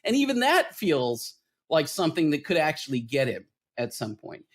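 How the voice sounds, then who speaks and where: A man with a slightly high voice talks through films as a podcast host. He explains his points casually but with animated emphasis, in a conversational flow. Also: moderate pace. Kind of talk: casual film discussion.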